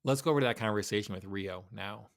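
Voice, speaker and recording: A man's voice: on the low side, fast, vocal fry, soft background music. A man hosting a podcast, welcoming the guest and setting up questions in an upbeat way. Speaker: podcast host. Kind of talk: podcast interview.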